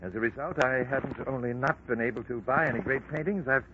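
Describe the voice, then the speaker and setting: Brief: man as a fussy, proud art patron, formal and wordy, quick talker, hissy old radio tape. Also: medium pitch, lightly acted. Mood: earnest. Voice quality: formal, long-winded. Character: earnest middle-aged male art patron, fussy and proud. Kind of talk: 1940s radio acting. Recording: lo-fi old radio recording with hiss.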